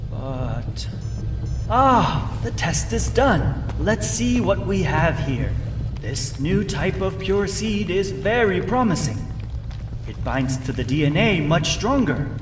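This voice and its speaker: An actor playing an inquisitive, excitable scientist in a game cinematic, musing aloud in a theatrical, deliberate way. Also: male voice, sits high, exaggerated voice. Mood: intrigued. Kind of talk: English game cinematic acting. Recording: echoey, distant, loud music behind.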